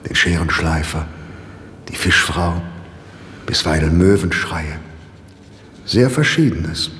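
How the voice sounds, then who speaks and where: An actor playing a gentle, devout priest in prison, pensive and deliberate, in 1960s German radio drama style. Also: male voice, deep voice, expressive, full character voice. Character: imprisoned devout priest, gentle, brooding, awaiting execution. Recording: reverberant, noisy old radio recording.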